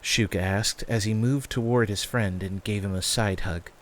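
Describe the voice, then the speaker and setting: A man's voice: lowish voice, steady tone, normal speed. A man working as narrator, reading a scripted fantasy story. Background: background music.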